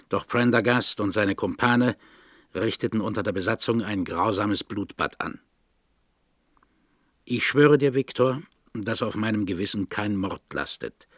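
A man's voice: on the low side, fairly bright tone, dry recording. Solemn older father, close dry sound. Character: remorseful older father confessing his past in a letter. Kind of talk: radio drama monologue.

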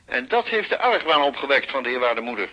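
An actor playing a dutiful police commissioner in a radio drama, formal, deferential and precise. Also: male voice, mid-range voice, big pitch swings, brisk, highs cut off. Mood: formal, concerned.

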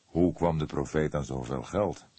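A man voicing an old police detective in an audiobook, probing and skeptical, with a deliberate, wry way of questioning. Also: very deep voice, warm tone, breathy edge. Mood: measured, insistent.

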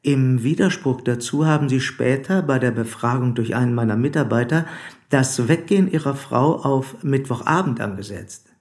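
An actor playing a probing, formal police commissioner in a German radio play, speaking deliberately and insistently. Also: male voice, mid-range voice, darkish tone, lightly acted. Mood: stern, measured.

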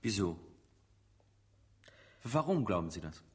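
A man's voice: deep voice, big pitch swings, slow pace, breathy edge, heavily acted. An actor in a radio drama playing a sceptical, insistent male defence lawyer with a formal, pointed way of speaking. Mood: startled. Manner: pointed, incredulous courtroom questioning. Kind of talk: German radio drama acting.

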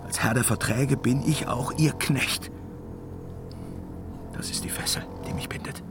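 A man's voice: mid-range voice, measured pace, lightly acted. An actor playing a weary, tormented, commanding father god in a fantasy radio drama, solemn and brooding, slipping into whispers. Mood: brooding, defeated. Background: dramatic music.

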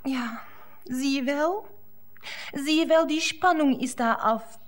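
A woman's voice: high voice, slow pace. An actress playing an amorous, coaxing German girlfriend with a German accent, cooing endearments and slipping German words into her Dutch in a radio play. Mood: plaintive.

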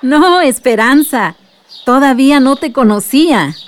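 Gentle, high-voiced Mexican storytelling aunt. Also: female voice, animated, measured pace. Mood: fond, nostalgic. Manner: gentle, reminiscing. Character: kindly aunt telling her village's past to a child. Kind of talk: radio drama storytelling.

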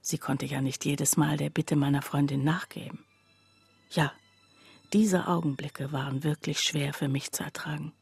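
A woman's voice: deep voice, fairly even intonation, moderate pace, gravelly, a little mellow, breathy edge, dry recording, faint music behind, exaggerated voice. A woman narrating a literary radio drama monologue, confiding and precise, as someone wryly recounting a poor friend's troubles.

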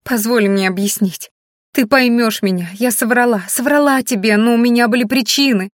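A frightened young wife in a fantasy audiobook, played by a woman narrator, pleading in a higher, trembling voice. The delivery is tearful and imploring, recorded dry and close. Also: extremely slow, gravelly, slightly rough, dry recording, full character voice. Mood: desperate. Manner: stammering, imploring.

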